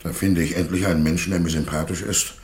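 An actor in a radio play playing a proud but reluctant Germanic prince and warlord, earnest and declamatory. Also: male voice, low-pitched, fairly even intonation, quick pace, darkish tone. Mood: regretful resolve. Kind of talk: theatrical radio play dialogue.

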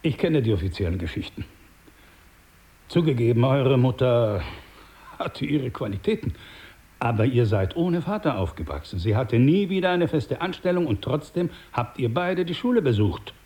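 An actor playing a paternal but menacing older crime boss in a radio drama, speaking in a measured, condescending, smooth-talking way. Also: male voice, mid-range voice, very animated, mellow, natural voice. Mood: patronising, measured.

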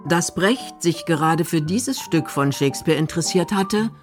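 A woman's voice: on the low side, animated, moderate pace, over music. A woman reading scripted narration for a radio documentary drama.

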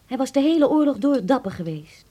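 A woman's voice: high voice, big pitch swings, brisk, warm tone. An actress playing a proud, formal German woman who carries grief, in a radio drama. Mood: wounded, grave.

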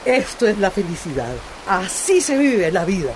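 A woman's voice: medium pitch, big pitch swings, normal speed, background noise, close to an everyday voice. An actress playing a joyful, sentimental old village mother in a radio soap, with a Costa Rican accent. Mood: elated. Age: older. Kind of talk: radio soap opera acting.

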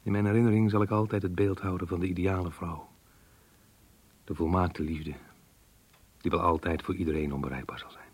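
An actor playing a remorseful middle-aged man reading out his diary confession in a radio play, grave and measured. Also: steady tone. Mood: remorseful, resigned. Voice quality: low, husky. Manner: grave, measured, literary diction. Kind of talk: Dutch radio play monologue.

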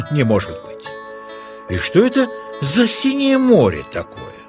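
Male narrator for children's tales: high voice, hugely varied intonation, theatrical and playful, music underneath. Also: wildly swooping pitch, unhurried. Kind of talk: expressive children's story narration.

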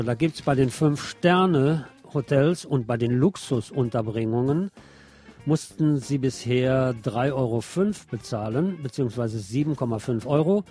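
Male radio presenter, soft mellow voice, reading local news, opinionated, light music underneath. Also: mid-range voice. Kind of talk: scripted local news read with spoken asides.

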